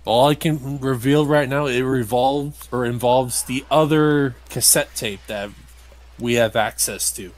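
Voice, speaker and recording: A man's voice: medium pitch, unhurried. A man giving casual, wry let's play commentary as he hosts a playthrough. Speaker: gamer hosting a playthrough.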